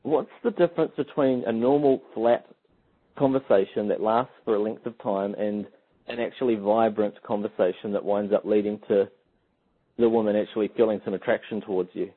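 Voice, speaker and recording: A man's voice: fairly low pitch, fairly even intonation, moderate pace, husky. A man interviewing in a casual, informal way, feeling out his questions as he builds them up. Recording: muffled phone-like lo-fi audio.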